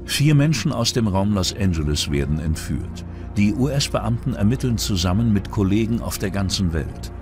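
A man's voice: lowish voice, expressive, normal speed, few pauses. A man narrating a true-crime documentary from a script. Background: music bed.

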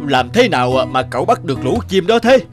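A man's voice: fairly high pitch, very animated, fairly quick, music in the background. A man playing a sceptical, curious middle-aged farmer in a cartoon. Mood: amazed. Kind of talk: cartoon dub acting.

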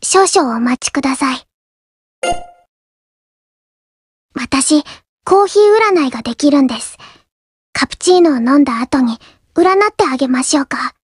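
A young coffee-house girl in an anime mobile game, with a high, small voice. She speaks softly and politely, reserved and a little shy but earnest. The sound is dry, with no room around it. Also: lively intonation, fairly quick, breathy edge, no echo, exaggerated voice. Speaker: game character voice actor. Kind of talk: scripted anime mobile game character lines.

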